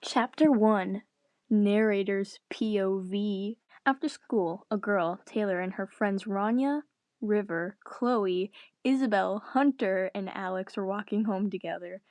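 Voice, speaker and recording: A woman's voice: mid-range voice, measured pace, darkish tone, no echo. Young woman, casual amateur reader, dry sound. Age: young adult. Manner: giggly, self-deprecating. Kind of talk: casual read-aloud of a story with self-mocking asides. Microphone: tablet's built-in microphone.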